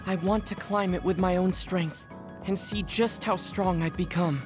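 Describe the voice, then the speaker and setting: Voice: medium pitch, fairly even intonation, natural voice. A performer in an English game dub voicing a psychic decades old in a child's body, speaking formally and precisely, proud but insecure, over music. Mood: earnest, determined. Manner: formal, precise, measured speech. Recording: music behind the voice.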